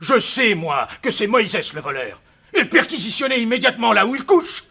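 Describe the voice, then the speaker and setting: An actor playing a vindictive, domineering planter who harangues and accuses in a radio drama. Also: male voice, very high voice, very animated, moderate pace, creaky, sharp tone, husky, exaggerated voice. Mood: indignant, threatening. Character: vindictive male planter, a blustering lynch-mob leader. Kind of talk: 1960s French radio drama acting.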